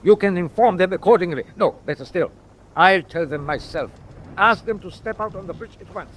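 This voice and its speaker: An actor playing an impatient, evasive German tanker captain in a wartime radio drama, brusque and used to giving orders. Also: male voice, high voice, fairly quick, natural voice. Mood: indignant, resolute. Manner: brusque, commanding, insistent. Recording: noisy audio with ship ambience behind.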